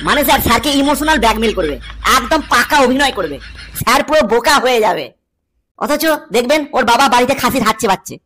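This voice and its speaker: Woman as a high-voiced theatrical cartoon schoolboy. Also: lively intonation, fairly quick, a bit bright. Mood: mocking. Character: dramatic, cheeky cartoon schoolboy explaining exam cheats. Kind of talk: comic cartoon monologue.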